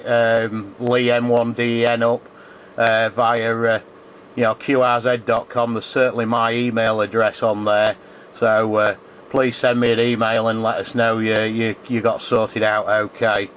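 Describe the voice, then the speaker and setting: A man with an English accent chats casually as an amateur radio operator, hesitating and repeating phrases. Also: medium pitch. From England. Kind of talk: casual ham radio contact. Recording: dull sound with faint radio noise.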